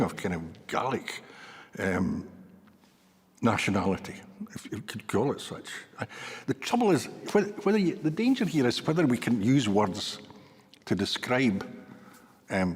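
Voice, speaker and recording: A man's voice: on the low side, husky. A man, a historian, speaking thoughtfully in a documentary interview and carefully qualifying his words. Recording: reverberant room with music underneath.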